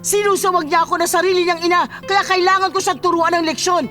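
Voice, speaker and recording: A woman with a Filipino accent playing a bitter, domineering, sharp-tongued mother in a radio drama. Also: very high voice, steady tone, fast talker, soft background music. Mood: bitter, angry. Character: bitter, domineering mother scolding her ailing father.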